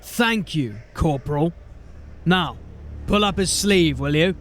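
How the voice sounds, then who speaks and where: A man's voice: on the high side, takes it slowly, slight background noise. A man playing a blunt, weary watch sergeant. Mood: steady, grim. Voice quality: plain-spoken, grim and steady. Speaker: voice actor. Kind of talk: audio drama acting.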